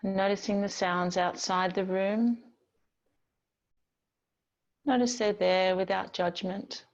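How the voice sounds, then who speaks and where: A woman yoga teacher speaks very slowly at a medium pitch, gently guiding breath and body awareness. She leaves long, soothing pauses between phrases. The recording sounds slightly dull and lo-fi. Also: flat delivery. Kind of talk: guided yoga and relaxation instruction.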